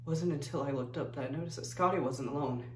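A woman playing a plain-spoken, grieving figure, haunted and halting, in a dramatic stage monologue. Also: low voice, a little mellow, a little hiss or hum, close to an everyday voice. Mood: subdued, wistful. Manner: subdued, halting, folksy storytelling. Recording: echoey room, distant microphone.

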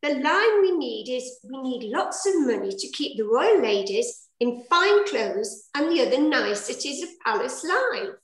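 A woman's voice: sits high, very animated, measured pace, hardly any pauses. An older woman narrating a pantomime in theatrical, sing-song rhyme on a video call. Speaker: director and rhyming fairy narrator. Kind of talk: scripted rhyming pantomime narration. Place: plain room indoors, video call. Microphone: computer mic on video call. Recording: echoey room on video call.

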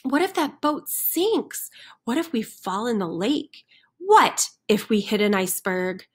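A woman's voice: fairly high pitch, sing-song, a little slow, slightly rough, full character voice. A woman voicing cowardly, anxious storybook chickens that fret over every danger, chiming in one after another. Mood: anxious, worried.

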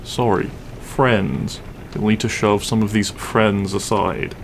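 A man playing a grumpy but tender half-dragon roommate in an intimate ASMR roleplay, gruff, sleepy and halting in a soft voice. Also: lowish voice, fairly even intonation, slight background noise. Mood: grumpy, reluctant. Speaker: roleplay voice actor. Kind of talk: intimate ASMR audio roleplay, comfort scene.

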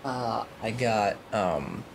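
A man playing a tabletop role-playing game, talking casually in a halting, hesitant way. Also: fairly low pitch, lively intonation. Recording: clear background noise.

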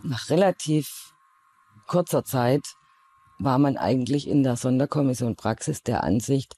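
A man speaking as an official in a measured documentary interview. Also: mid-range voice, mellow, breathy edge, soft background music.

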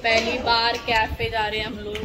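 A woman's voice: level tone, moderate pace. A woman, chatting casually and teasingly to her phone as she vlogs, with bursts of exclamation. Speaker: vlogger. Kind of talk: casual outdoor vlog chat with companions. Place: outdoors at a beach and open-air cafe. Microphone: phone at arm's length. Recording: noisy outdoor phone recording with ambient rumble.

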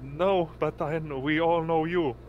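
A man acts out a starstruck lieutenant in a sci-fi tabletop game. He gushes with fanboy hero worship, his voice pushed high and loud, with clear noise behind it. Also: fairly even intonation, a little slow, dull audio, close to an everyday voice. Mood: awestruck. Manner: gushing, breathless hero worship. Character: starstruck male lieutenant, gushing, excitable fanboy pilot.

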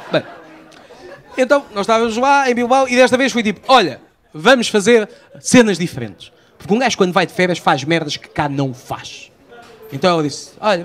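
A man in his thirties with a European Portuguese accent, doing a live stand-up routine on a small outdoor stage at night. He tells animated stories into a handheld microphone and acts out the voices he quotes. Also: high-pitched. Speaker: stand-up comedian.

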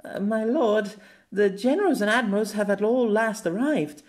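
A man's voice: high-pitched, lively intonation, moderate pace, voice pushed up. A man voicing a formal, hesitant guardsman messenger in a fantasy audiobook. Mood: deferential.

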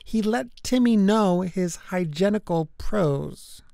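A woman's voice: medium pitch, animated, slow pace, creaky, mellow, no echo, studio quality. A woman narrating a rhyming children's storybook expressively, in a way that is easy to follow. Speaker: children's book reader.

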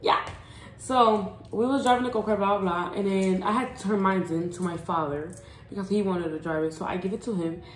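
A woman's voice: medium pitch, fairly even intonation, brisk. Female vlog co-host, informal storyteller with a bantering edge; roomy sound with noticeable background noise. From Puerto Rican family. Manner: informal, bantering, often correcting co-speaker. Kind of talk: casual chat, storytelling. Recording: echoey room, clear background noise, clicks.

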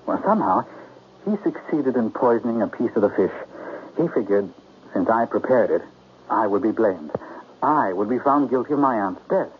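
An actor playing a smooth-talking, smug, falsely genial crime boss in a 1970s radio drama. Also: male voice, fairly low pitch, expressive, moderate pace, natural voice. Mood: feigned regret. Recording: muffled, noisy 1970s radio recording.

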